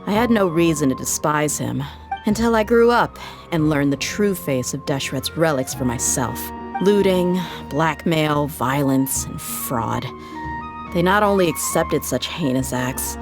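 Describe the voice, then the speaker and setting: A low-pitched tough woman mercenary from a video game. She talks in a laid-back, matter-of-fact way with a world-weary edge. Music plays prominently behind her. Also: expressive, unhurried. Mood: bitter. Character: tough, laid-back female desert mercenary, loyal and candid. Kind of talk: video game character acting, conversational storytelling. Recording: studio game dialogue over loud music. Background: background game music.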